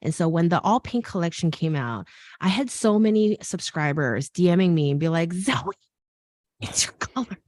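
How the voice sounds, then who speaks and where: A woman's voice: on the low side, expressive, moderate pace, a little mellow, no echo. A woman with an American accent whose first language is Korean, hosting a casual fashion interview and slipping into teacherly asides. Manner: chatty, laughing, teacherly asides. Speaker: host and fashion design teacher. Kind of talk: casual video call interview.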